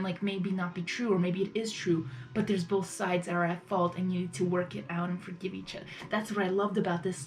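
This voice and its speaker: A woman's voice: mid-range voice, low-quality recording. A woman giving casual live reaction commentary as a video host, effusive and rambling, often repeating her phrases.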